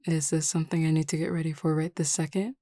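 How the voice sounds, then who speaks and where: A flirty, self-assured werewolf woman played by a voice actress in an audio roleplay. Her voice is low and mellow, recorded dry and close, and she teases with casual, unhurried confidence. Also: little pitch movement, no room sound. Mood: flirty, teasing. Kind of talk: scripted audio roleplay acting.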